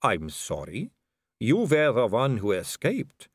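A man's voice: medium pitch, huge pitch swings, no echo, clean studio sound, full character voice. Man voicing a silky aristocratic lady vampire. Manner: slow, silky, languid delivery. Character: suave, poised aristocratic female vampire.

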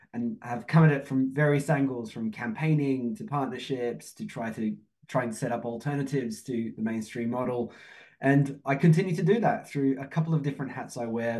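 A man's voice: medium pitch, darkish tone. A man from Turkey who grew up in Australia, answering reflectively as an expert guest in a conversational interview, repeating words for emphasis. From born in Turkey, grew up in Australia. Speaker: podcast guest, economics expert.

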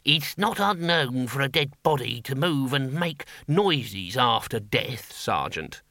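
A man voicing a pedantic senior wizard scholar in a storybook, explaining in a slow way. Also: mid-range voice, expressive, bright, forceful tone, no echo, full character voice. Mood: matter-of-fact.

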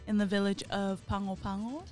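A woman's voice: medium pitch, level tone, fairly quick, a little mellow, soft background music. A woman in her twenties from American Samoa, a Bible college student answering briefly as a podcast guest. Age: 20s. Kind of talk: casual interview.

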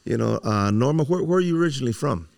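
A man's voice: medium pitch, lively intonation, quick pace, gravelly, darkish tone. A man in his seventies hosting a casual, folksy conversational interview, dropping in Spanish filler words. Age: 70s. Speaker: interview host.

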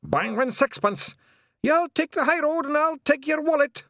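A man's voice: very high voice, lively intonation, moderate pace, fairly bright tone, no echo, studio quality, pitched above the performer's own voice. A man voicing wild little Scottish pixie warriors roaring war cries in an audiobook. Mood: battle fury. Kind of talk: audiobook character acting.

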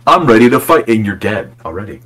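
A man's voice: on the low side, sing-song, quick pace, fairly bright tone. A young man making absurd, exaggerated jokes in a casual podcast group chat. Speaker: podcast co-host.

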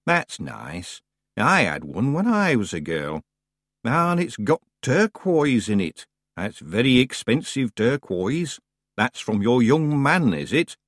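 A man voicing a chatty, nosy old woman running a village post office, slow and fussy. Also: mid-range voice, very animated, a bit bright, no room sound, clean studio sound, heavily acted.

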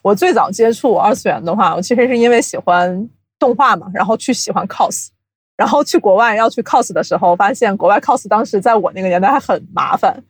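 A woman's voice: medium pitch, animated, fairly quick, breathy edge, no echo. A woman talking casually as a podcast guest, jokey and self-deprecating, telling anecdotes close to the mic. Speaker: podcast guest, company CEO and voice actor.

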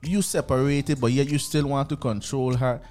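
A man's voice: medium pitch, fairly even intonation, normal speed, darkish tone. A man co-hosting a radio talk show, speaking earnestly and often repeating words as he starts a thought.

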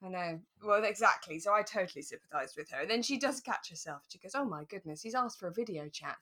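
A woman's voice: mid-range voice, expressive, quick pace, pushed and bright. A British woman chatting casually and informally as a podcast co-host. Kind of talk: relaxed casual chat.

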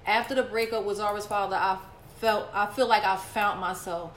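A woman's voice: medium pitch, steady tone, normal speed, echoey room, a little hiss or hum. A woman in her late thirties co-hosting a podcast, talking casually and saying "like" a lot. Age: 30s. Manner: chatty, repeats herself, says "like" a lot. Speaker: podcast co-host.

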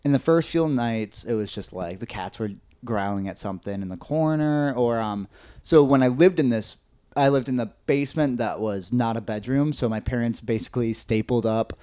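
A man with a slightly high, nasal voice, telling a story on a casual podcast, rambling and hesitant with lots of "like"s and restarts. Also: moderate pace, warm tone, clean tone, no echo.

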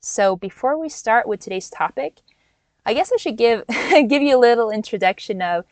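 A woman's voice: on the high side, expressive, moderate pace, no echo. A Norwegian-born woman in her twenties hosting a solo coaching podcast from inside a van, talking in an earnest, encouraging way with small restarts and laughs. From Norway. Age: 20s. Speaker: podcast host and life coach.

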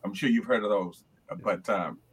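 An older man from Alabama, a choral conductor who reflects and tells stories in a relaxed chat, often restarting his sentences. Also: lowish voice, moderate pace, slightly rough. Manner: reflective, storytelling, explains with many restarts. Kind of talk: casual interview chat.

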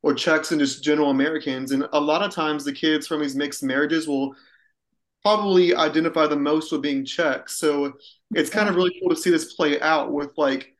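A man co-hosting a podcast, explaining points in a quick, upbeat, casual way. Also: fairly high pitch, fairly even intonation, poor audio. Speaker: podcast co-host.

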